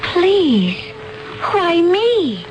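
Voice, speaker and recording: An actress playing a gentle, innocent young alien woman who sounds bewildered and pleading. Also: very high-pitched, sing-song, drawn-out words, slight background noise, heavily acted, rough recording. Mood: frightened.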